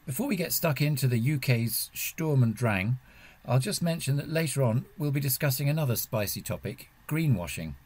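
A man's voice: medium pitch, expressive, moderate pace, a little mellow. A man presenting a podcast as host and editor.

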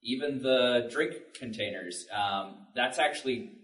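A man's voice: mid-range voice, a little slow, fairly bright tone. A man on a panel explaining things informally in plain words. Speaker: panelist, audio drama maker. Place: public library panel room. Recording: echoey room, distant mic.

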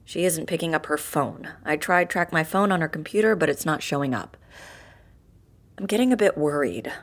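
An actress in an audio drama playing a practical office colleague, brisk and conversational with some worry. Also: female voice, low-pitched. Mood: worried. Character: practical, plain-spoken office colleague, a mildly worried woman.

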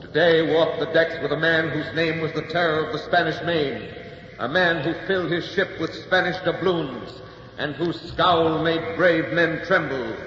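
An actor voicing the spirit of Adventure as a grand, portentous, dangerous narrator in a 1940s radio prologue. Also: male voice, fairly high pitch, steady tone, normal speed, close to an everyday voice. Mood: grand, ominous. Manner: declamatory, portentous. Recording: cavernous reverb, noisy dull 1940s radio.

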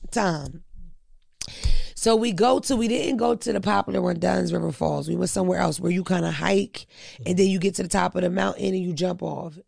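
A woman's voice: on the low side, fairly even intonation, fairly quick, vocal fry, darkish tone, no room sound. A woman telling blunt, crude stories in a casual podcast chat, close to the mic.

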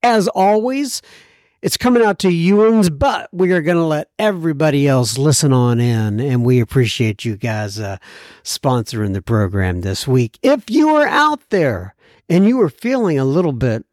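A man's voice: fairly high pitch, expressive, moderate pace, a little mellow, no room sound, clean studio sound. An American man hosting a podcast in a folksy, chatty, rambling way, moving between casual introductions and interview questions. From United States. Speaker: podcast host.

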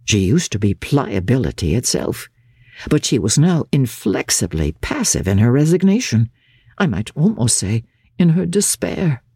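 A woman giving a formal, expressive and measured dramatic narration of a diary from a classic novel. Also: rumbling low, husky, no room sound, studio quality. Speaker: audiobook narrator.

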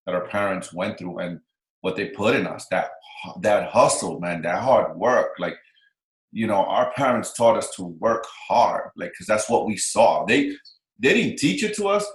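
A man's voice: lowish voice, animated, moderate pace. A man hosting a casual interview, speaking with enthusiasm and encouragement and repeating phrases for emphasis. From Dominican Republic. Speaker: interview host. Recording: echoey room.

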